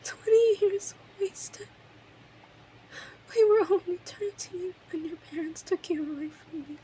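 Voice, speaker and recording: A woman's voice: very high voice, unhurried, warm tone, a little hiss or hum, poor audio. A woman playing an obsessive, lovesick kidnapper in her thirties in an intimate, emotional audio roleplay monologue. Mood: tearful, overwhelmed. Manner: clingy, emotional, swings between breathy whispers and outbursts.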